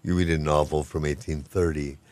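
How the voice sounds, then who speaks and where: An older man with an extremely deep, low voice talks in a relaxed, rambling way. He is good-humored and self-deprecating, a professor chatting through an interview. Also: a little fast. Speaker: professor of Hawaiian language. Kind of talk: casual interview talk.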